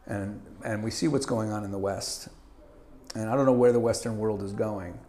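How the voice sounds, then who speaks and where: A middle-aged man from Canada, a guest in a casual interview, talking in an animated way with lots of stammered restarts and easy laughter. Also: fairly low pitch, moderate pace, slight background noise.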